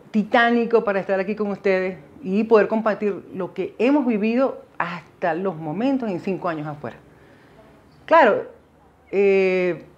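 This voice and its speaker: A woman's voice: medium pitch, darkish tone, muffled recording. A middle-aged woman with a Venezuelan accent talking casually and reflectively to viewers, often digressing as she goes. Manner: conversational, digressive, addresses viewers directly. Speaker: video host sharing migration experience. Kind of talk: casual, reflective monologue to camera. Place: small indoor setup with dark backdrop.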